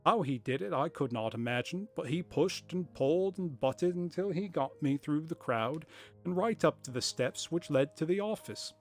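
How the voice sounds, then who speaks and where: A man's voice: mid-range voice, expressive, normal speed, natural voice. A talkative middle-aged shopkeeper recounts his tale in an old-fashioned put-on accent, as a man reads him aloud. The voice sits in the middle register. Faint music plays behind. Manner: put-on period accent, storytelling. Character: chatty middle-aged male shopkeeper telling his story. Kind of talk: reading a story aloud.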